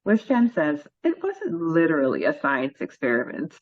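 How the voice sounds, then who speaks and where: A chatty woman co-hosting a podcast, rambling and hunting for words. Her voice sits a little low. The recording is dry and slightly dull, as if from a basic mic. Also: wildly swooping pitch, normal speed, breathy edge, dry recording. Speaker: podcast co-host. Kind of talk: casual chat.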